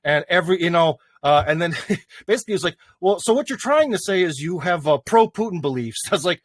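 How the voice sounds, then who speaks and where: Man, live stream host, rambling talk, slightly high pitch, dry close sound. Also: lively intonation, a little fast, dry recording. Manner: rambling, lots of fillers and laughs. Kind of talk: casual live stream chat.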